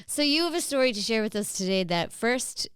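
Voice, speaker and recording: Irish woman, smooth clear voice, dry close sound, casual podcast host, informal, encouraging. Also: fairly high pitch, lively intonation, a little fast, fairly bright tone, no echo. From Ireland. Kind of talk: casual chat interviewing.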